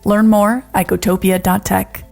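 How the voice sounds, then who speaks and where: A woman's voice: mid-range voice, level tone, a little slow, creaky, a little mellow. Polished female promo announcer over music.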